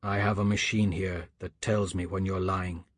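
A man voicing an older man in authority for an audiobook, soft and measured, accusing with cold menace. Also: low voice, level tone, breathy edge, no echo, clean studio sound, voice pushed down. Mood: cold, suspicious. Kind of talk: audiobook character dialogue.

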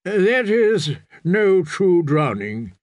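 A man's voice: high voice, big pitch swings, drawn-out words, dry recording, voice pushed up, full character voice. A man voicing a stern, fervent sea-god priest in a fantasy audiobook, solemn and commanding. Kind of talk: dramatic fantasy audiobook character voice.

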